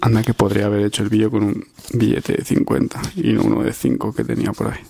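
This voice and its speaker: A man mutters casually in a low, dark voice. His delivery is quick and gravelly, like an offhand aside. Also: little pitch movement.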